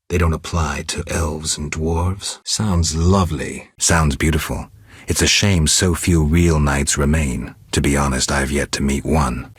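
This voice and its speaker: An actor playing a stoic, gruff male monster hunter in a fantasy video game, gravelly, terse and deadpan. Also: extremely low pitch, no echo, studio quality, full character voice. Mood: wry, blunt. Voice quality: gravelly, husky.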